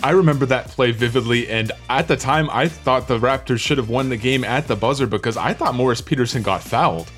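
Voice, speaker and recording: A man's voice: mid-range voice, a little fast, few pauses, fairly bright tone, soft background music. A man hosting a sports podcast, putting prepared interview questions to his guest.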